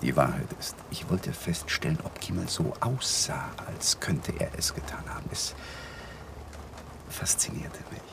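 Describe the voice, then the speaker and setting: Nervy young lawyer, wildly varying pitch. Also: male voice, big pitch swings, measured pace, in a whisper, full character voice. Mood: hesitant confession. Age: young adult. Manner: agitated, hesitant, dropping into breathy murmurs. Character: cornered, defensive young lawyer, nervy and stubborn. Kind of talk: 1980s German radio drama acting.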